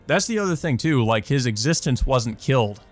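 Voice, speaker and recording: Guy reading a visual novel and rambling about it, says like a lot, soft music behind. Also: mid-range voice, animated, a little fast. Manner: casual, rambling, many fillers like "like". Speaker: let's play host. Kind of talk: visual novel read-through with commentary.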